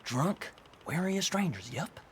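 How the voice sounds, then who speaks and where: A man's voice: a little high, very animated, unhurried, a little hiss or hum. An actor playing a wary male outlaw guide in a video game, folksy and matter-of-fact.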